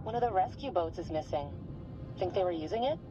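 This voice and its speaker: Excitable young woman diver, animated. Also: on the low side, brisk, lo-fi sound, over music. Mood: uneasy. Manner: eager, exclaiming. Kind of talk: video game acting.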